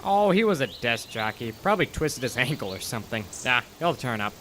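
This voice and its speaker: A man's voice: medium pitch, sing-song, fairly quick, bright, forceful tone, slight background noise. A man acting a cocky, dismissive, joking repairman in an audio horror drama.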